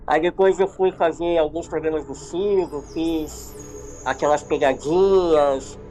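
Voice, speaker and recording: Relaxed middle-aged man telling stories. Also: on the high side, slow, soft background music, poor audio. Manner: relaxed, rambling storyteller. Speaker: TV producer and director, guest. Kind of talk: casual podcast interview.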